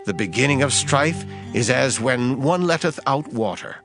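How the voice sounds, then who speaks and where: A man narrating scripture in a dramatized King James style, with solemn, measured, emphatic delivery. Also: medium pitch, expressive. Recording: music under the voice. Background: background music.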